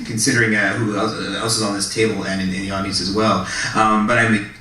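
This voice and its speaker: A man's voice: fairly low pitch, little pitch movement, a little fast, bright, forceful tone. A man from Canada, a writer on a festival panel, earnest and anxious, stammering through repeated words. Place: public library event hall. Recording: echoey hall, distant mic, faint noise.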